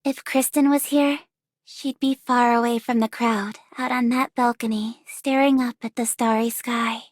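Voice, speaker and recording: A woman's voice: fairly high pitch, fairly even intonation, a little slow, no room sound, clean studio sound, lightly acted. A woman voicing an enigmatic, sorrowful young woman in a game, speaking in a wistful, lingering way. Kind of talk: scripted game voice lines.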